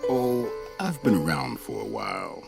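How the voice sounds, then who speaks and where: An actor in a radio play playing a serene, cryptic, ageless monk mentor with a measured, amused, philosophical manner. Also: male voice, sits low, wildly swooping pitch, gravelly, over loud music, heavily acted.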